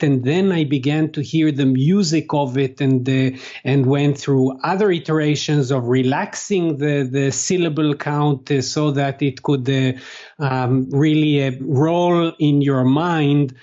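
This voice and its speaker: A man whose first language is Hebrew, answering interview questions as a translator, pausing often with fillers and restarts. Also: on the high side, fairly even intonation, dark tone, lo-fi sound. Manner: halting, many fillers and restarts. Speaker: guest, translator.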